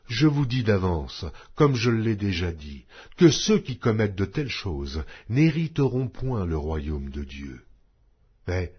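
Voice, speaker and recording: Slow solemn male Bible reader. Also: low voice, breathy edge, muffled recording. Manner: slow, solemn, measured delivery. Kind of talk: scripted scripture reading.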